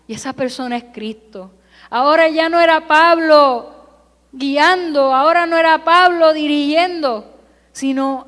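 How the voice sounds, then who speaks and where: A woman who grew up in California delivers a Sunday sermon from the pulpit. Her voice sits high, and she takes her time, stacking emphatic parallel phrases one after another. The sound carries a long reverb from the church sanctuary. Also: a bit bright. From California, United States. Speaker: preacher.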